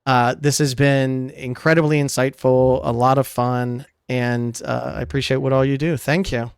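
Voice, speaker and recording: A male podcast host, chatting casually and joking freely with his guest. His voice is smooth and resonant, recorded dry and close with no room sound. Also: mid-range voice, flat delivery, normal speed, dry recording. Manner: jokey, laughs easily. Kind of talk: casual interview chat.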